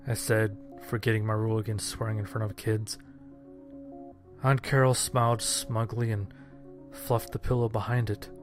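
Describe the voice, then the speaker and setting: Male story narrator, lowish and flat, sardonic deadpan, quiet music bed. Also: normal speed. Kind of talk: scripted first-person horror-comedy story narration.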